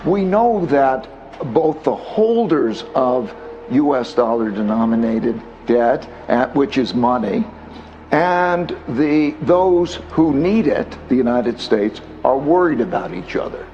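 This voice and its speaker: A man explaining in an interview, deliberate and insistent, with halting phrasing. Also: mid-range voice, animated, a little mellow, lo-fi sound, a little hiss or hum. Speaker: financial commentator, interview guest.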